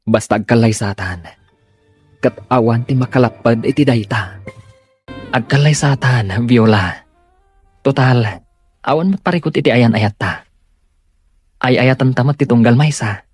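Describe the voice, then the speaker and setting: A man's voice: medium pitch, measured pace, long pauses, husky, dry recording, faint music behind. A man playing a sincere, determined young suitor in an audio drama. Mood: earnest, determined. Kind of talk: Ilocano audio drama acting.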